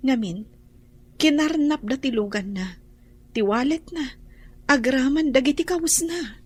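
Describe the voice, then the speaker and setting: A woman's voice: high voice, unhurried, husky. A woman with a Filipino accent playing an earnest young daughter in a radio drama.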